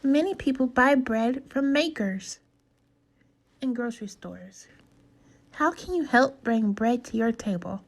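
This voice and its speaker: A woman teacher reading a storybook aloud to children, in a deliberate, instructive way with questions to her listeners. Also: a little high, vocal fry. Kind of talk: slow storybook read-aloud and lesson for kids.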